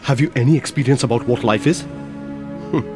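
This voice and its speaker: A man's voice: mid-range voice, a little fast, long reverb tail, music in the background, lightly acted. An actor with an Indian English accent playing a hesitant, emotional young man in an audio drama. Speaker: young man in a radio play.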